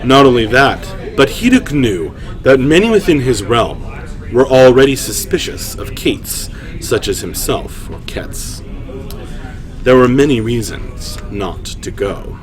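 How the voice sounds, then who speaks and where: A man hosting a story reading, shifting between informal, halting chat and measured storytelling narration. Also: medium pitch, sing-song, faint music behind, poor audio. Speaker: writer and host reading his own story aloud. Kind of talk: livestream story reading, narration with casual asides.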